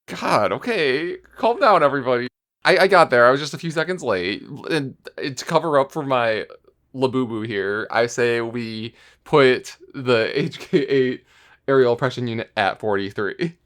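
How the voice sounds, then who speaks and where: A man's voice: medium pitch, animated, moderate pace, fairly bright tone. A man with a mid-range voice chats as a podcast co-host. He sounds slightly intoxicated as he talks casually with the others. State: tipsy. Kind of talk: casual chat.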